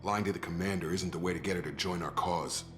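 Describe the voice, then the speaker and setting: A male actor plays an earnest soldier from a video game, conscientious and plain-spoken. His voice sits low and his delivery is informal but steady. Music plays clearly behind him. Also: fast talker, close to an everyday voice. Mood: challenging. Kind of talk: video game cutscene acting.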